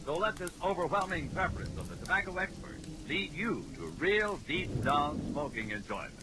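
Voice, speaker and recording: A man's voice: on the high side, animated, normal speed. A man with an American accent reading a 1940s radio commercial as an announcer. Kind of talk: 1940s radio advertising read. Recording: noisy old 1940s radio broadcast.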